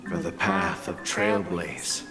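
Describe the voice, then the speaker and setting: A man's voice: low-pitched, steady tone, slow pace. An actor playing a weary old male traveller who looks back on his life in a solemn, reflective game monologue. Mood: gentle, resolute. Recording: long reverberant hall, music behind.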